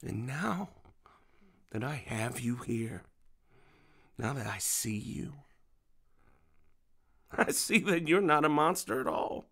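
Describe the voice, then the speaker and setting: An American man acting in an audio drama as a middle-aged, menacing, vengeful father, speaking with deliberate, controlled menace. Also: medium pitch, creaky, a bit bright, slightly rough, exaggerated voice. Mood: mocking.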